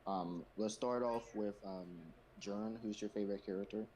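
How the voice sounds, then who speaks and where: Low-voiced man hosting over a noisy mic. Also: steady tone, normal speed, mellow. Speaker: host of a fan comic dub Q&A stream. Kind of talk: casual group chat. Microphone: voice call. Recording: noisy home microphone.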